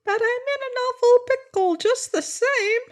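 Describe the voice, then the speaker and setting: A woman voicing a tiny, bumblebee-sized orc with a very small, squeaky voice. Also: normal speed. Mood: dismayed.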